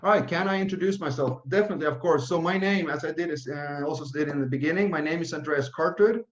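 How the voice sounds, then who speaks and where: Man in his thirties, roomy lo-fi sound. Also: fairly high pitch. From Norway. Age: 30s. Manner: fast, run-on, with frequent restarts and fillers. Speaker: project founder presenting. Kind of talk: online presentation with Q and A.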